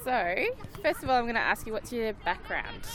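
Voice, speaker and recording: A woman hosting a podcast, asking quick, upbeat interview questions at a local football club. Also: a little high, big pitch swings, a crowd in the background. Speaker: podcast host and field interviewer. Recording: outdoor recording with noisy background.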